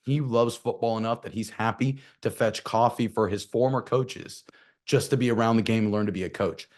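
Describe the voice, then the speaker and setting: A man with a somewhat low, hoarse voice co-hosts a football talk show. He talks in a confident, emphatic way, circling back to the same phrases to drive his points home. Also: fairly quick. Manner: emphatic, repeats key phrases. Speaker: sports show co-host. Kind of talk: opinionated commentary.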